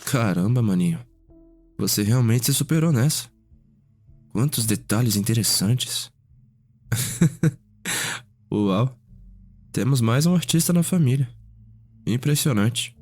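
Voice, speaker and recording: A man playing a genial, refined vampire nobleman with a gentle, measured manner, in an ASMR roleplay story. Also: mid-range voice, lively intonation. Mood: delighted. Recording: music behind the voice.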